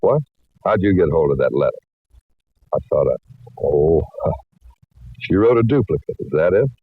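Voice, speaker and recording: A man's voice: sits low, very animated, measured pace, husky, no room sound, full character voice. A man playing an easygoing, folksy singing cowboy with a modest, drawling manner in a 1940s radio drama. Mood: rueful. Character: easygoing, folksy male singing cowpoke with a sly side. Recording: muffled old radio recording.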